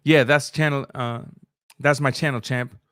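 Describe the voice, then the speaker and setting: A man's voice: mid-range voice, fairly even intonation, moderate pace, fairly bright tone, clean tone, no room sound, studio quality. Casual Spanish-speaking man streaming boxing talk. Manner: loose, conversational, with frequent restarts and filler sounds. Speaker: boxing channel host. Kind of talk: live-stream commentary, reading super chats.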